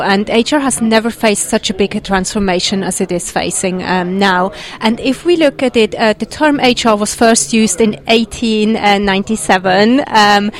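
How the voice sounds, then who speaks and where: A woman from Switzerland with a Swiss accent, a guest on a podcast, talking in an earnest, measured way with frequent "um" fillers. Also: on the high side. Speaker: podcast guest, agile HR consultant. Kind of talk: conversational interview.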